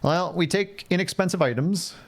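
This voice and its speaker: A man's voice: high voice, normal speed. A man giving casual, playful live commentary on a game, thinking aloud and repeating phrases as he chats with viewers. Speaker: game livestreamer.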